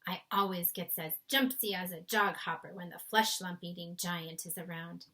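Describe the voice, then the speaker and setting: A woman voicing a gentle, nervous, kindly male giant in a storybook, soft-spoken with quaint muddled grammar. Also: fairly low pitch, steady tone, normal speed. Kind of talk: storybook read-aloud character voice.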